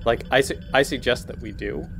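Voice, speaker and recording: A man's voice: medium pitch, a little fast, creaky, music in the background. A man playing a tabletop game, questioning the rules and building his case step by step. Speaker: player.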